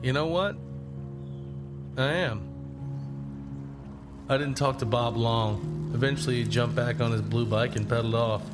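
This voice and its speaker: An actor playing a young long-distance traveler, narrating in the first person in an understated, introspective way for an audio drama. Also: male voice, mid-range voice, unhurried, pauses often, natural voice. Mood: casual, curious. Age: young adult. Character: reflective young long-distance walker telling his journey. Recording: prominent music bed under the voice.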